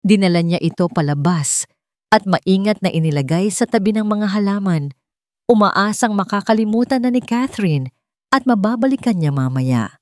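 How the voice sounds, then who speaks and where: A woman's voice: mid-range voice, normal speed, no room sound, studio quality. A woman with a Filipino accent narrating a scripted dramatic story.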